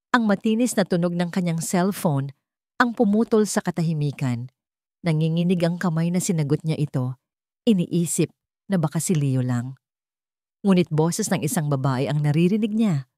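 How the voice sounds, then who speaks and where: A woman's voice: low voice, animated, normal speed, warm tone, no echo, studio quality. A woman reading scripted fiction as a narrator, in a solemn, emotive storytelling style.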